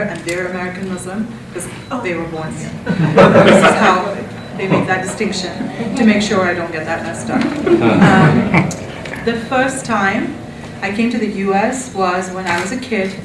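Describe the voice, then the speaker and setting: A woman in her forties from Pakistan, with a Pakistani accent, chatting as an interview guest, laughing often with many ums. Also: on the low side. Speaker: guest, marriage and family therapist. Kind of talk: conversational interview. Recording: roomy, from a distance, background noise.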